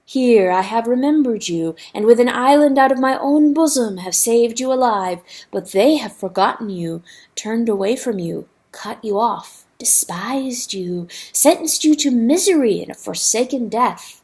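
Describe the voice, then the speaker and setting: A woman's voice: fairly high pitch, lively intonation, unhurried, darkish tone, breathy edge, exaggerated voice. Woman as a solemn, malicious river spirit. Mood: accusing, bitter. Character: seductive, malicious singing river spirit, coldly lordly.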